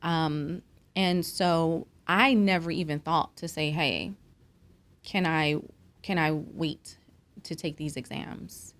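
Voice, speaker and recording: A woman's voice: sits low, takes it slowly, fairly bright tone. A woman doctor speaking as a podcast guest, telling her story in an earnest, reflective way with frequent fillers. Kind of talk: conversational interview.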